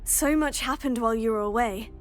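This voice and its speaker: A woman as a high-voiced, earnest young witch. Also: brisk, soft background music, natural voice. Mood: eager, recounting. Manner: polite, earnest. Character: teenage witch student, polite, earnest, eager. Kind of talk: cinematic fantasy game acting.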